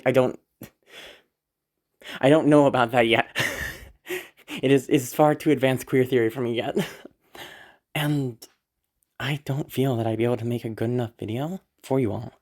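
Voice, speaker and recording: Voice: mid-range voice, a little mellow. A content creator with a congested voice, rambling casually to viewers in an anxious, apologetic, giggly way, with some swearing. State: congested from being sick. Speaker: audio roleplay creator.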